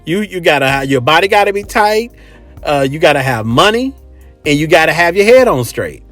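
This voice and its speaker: A man's voice: a little high, sing-song, normal speed, a bit bright, soft background music. An American man hosting a casual, opinionated monologue, speaking bluntly and emphatically with swearing and repeated phrases. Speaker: host. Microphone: close microphone.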